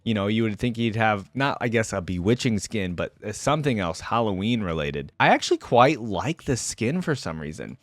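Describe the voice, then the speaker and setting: A man's voice: fairly low pitch, sing-song, moderate pace, few pauses, no room sound, studio quality. A man giving casual, informal reaction commentary on game character skins, thinking aloud as he goes. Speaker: reaction video host.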